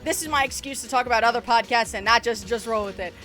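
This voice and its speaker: A woman with a fairly high, clearly bright voice narrates a scripted video essay. Her phrasing is wry and emphatic, with informal joking asides. Soft music plays behind her. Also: fast talker.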